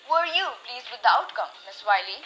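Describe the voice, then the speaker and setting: Young woman as a high, bright 1920s reporter. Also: expressive, normal speed, dull audio, soft background music. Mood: eager, punchy. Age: teenager. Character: brash, eager 1920s newspaper reporter. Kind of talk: radio drama acting as newscaster.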